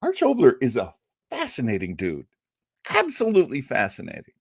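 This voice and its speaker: Male radio-show host, mid-pitched and animated, conversational, emphatic, given to rambling asides. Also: a little fast, creaky, breathy edge, no echo, studio quality. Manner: enthusiastic, emphatic. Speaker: host of an old-time radio listening show. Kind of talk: casual, chatty introduction to a radio show.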